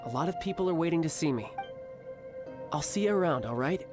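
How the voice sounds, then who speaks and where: Man acting a smooth young commander over game music. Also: a little high, expressive, brisk, close to an everyday voice. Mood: gentle, reluctant. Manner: smooth, theatrical, courteous with an edge of command. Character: suave young male military commander, doting older brother.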